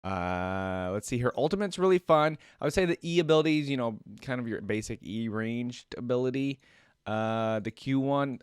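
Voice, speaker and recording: A man's voice: mid-range voice, no room sound, clean studio sound. A man giving informal, rambling reaction commentary on a video game, thinking aloud and talking to his viewers. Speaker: host of a game reaction channel. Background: game trailer music.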